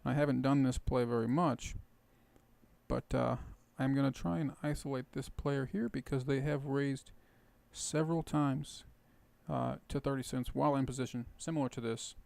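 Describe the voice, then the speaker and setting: A man with a rough, hoarse voice casually explaining his poker plays while thinking aloud. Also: mid-range voice, fairly even intonation, normal speed, darkish tone, no echo. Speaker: poker video host. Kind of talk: casual poker commentary over gameplay.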